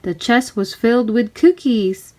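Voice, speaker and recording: A woman narrating a children's storybook aloud in expressive, clearly separated phrases. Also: fairly high pitch, unhurried. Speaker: storybook reader.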